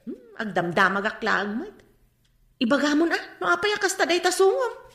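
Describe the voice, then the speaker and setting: An actress playing a teasing, gossipy shopkeeper in a radio drama. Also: female voice, sits high, sing-song, normal speed, fairly bright tone, heavily acted. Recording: echoey room sound.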